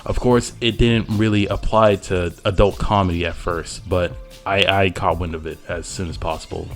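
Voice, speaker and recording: A man with a fairly low voice delivers a loosely scripted review. He talks informally, as if chatting to viewers, while light music plays behind him. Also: fairly even intonation, normal speed. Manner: informal, conversational. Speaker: video essayist, TV show reviewer. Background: background music.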